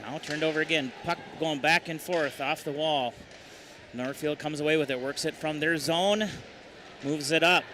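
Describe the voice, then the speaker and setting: A man calling a hockey game play-by-play, rattling off each pass and shot at a brisk clip. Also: fairly high pitch, background noise. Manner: brisk, rattling off puck movement, excited on goals. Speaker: play-by-play announcer.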